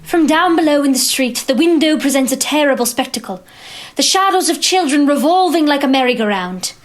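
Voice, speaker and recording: A woman's voice: sits high, lively intonation, brisk. A woman narrating an audio drama in scripted, dramatic storytelling style.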